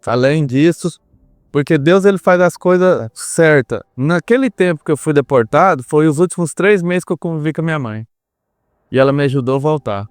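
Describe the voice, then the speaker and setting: A man's voice: a little high, expressive, moderate pace, clear, resonant tone, no room sound. Earnest Brazilian man, dry close sound. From Brazil, small town. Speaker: podcast guest. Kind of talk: personal storytelling in a podcast interview.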